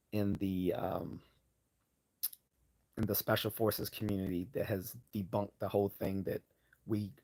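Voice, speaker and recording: A man hosting a relaxed livestream Q&A, his slightly low voice worn hoarse. He wanders through his answers, trailing off into fillers and starting sentences over as he reads and responds to chat. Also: rough recording. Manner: rambling, hesitant, many fillers and restarts. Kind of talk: casual live Q&A chat.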